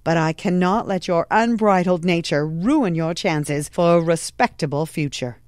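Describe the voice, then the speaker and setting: A woman playing a strict, snobbish mother, prim and commanding, with a shrill edge, in a period audio drama. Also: unhurried, a little mellow, no echo, lightly acted. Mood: stern, dismissive. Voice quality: shrill when scolding. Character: strict, snobbish society mother, scolding and domineering.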